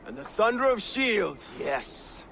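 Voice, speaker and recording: A gravelly Viking leader. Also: male voice, sits high, very animated, takes it slowly, fairly bright tone, slight background noise, heavily acted. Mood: resolute. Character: steady veteran Viking leader, man.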